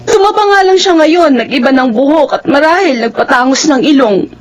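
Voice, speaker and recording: A woman's voice: high-pitched, brisk, natural voice. A woman playing a heartbroken young Filipina returnee in a radio drama, earnest and emotional, with a Filipino accent. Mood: tearful, outraged. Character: heartbroken young Filipina returning from overseas work, wary of love. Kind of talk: radio drama acting with first-person narration.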